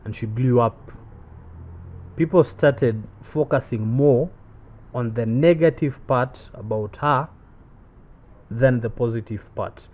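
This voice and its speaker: A man's voice: mid-range voice, takes it slowly, pauses often. A young man hosting a podcast, asking his questions with many hesitant restarts. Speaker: podcast host. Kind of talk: casual interview questions. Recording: thin phone-like audio with faint noise.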